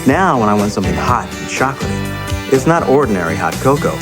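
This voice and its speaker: A man's voice: fairly low pitch, big pitch swings, fairly quick. A man giving a scripted testimonial in a radio commercial. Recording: music clearly behind.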